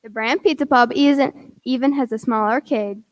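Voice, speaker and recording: A woman's voice: fairly high pitch, moderate pace, no room sound, close to an everyday voice. A young woman playing an eager character in an amateur scripted radio ad. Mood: promotional.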